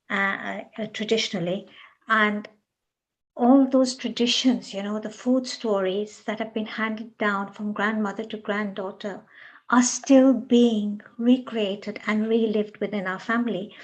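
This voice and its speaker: A woman's voice: mid-range voice, rough recording. A woman from Kenya speaking as a guest on an online panel, effusive and gracious as she thanks the speaker and asks a question.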